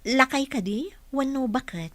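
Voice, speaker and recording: A woman's voice: sits high, unhurried, fairly bright tone, dry recording. Caring young mother, acted by a woman, dry sound. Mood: curious, polite. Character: struggling, caring young mother looking for work. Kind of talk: dramatised story dialogue.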